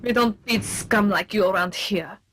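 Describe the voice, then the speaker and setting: A woman's voice: mid-range voice, normal speed, fairly bright tone, a little hiss or hum, lightly acted. Woman playing a sardonic, contemptuous prison nurse. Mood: scornful. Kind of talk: comic radio play acting.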